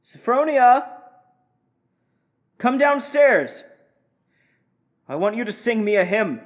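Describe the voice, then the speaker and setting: An actor playing a controlling, falsely solicitous husband in a suspense drama, commanding in manner. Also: male voice, very high-pitched, expressive, slow, full character voice. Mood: insistent, calling out. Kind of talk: old-time radio style suspense drama.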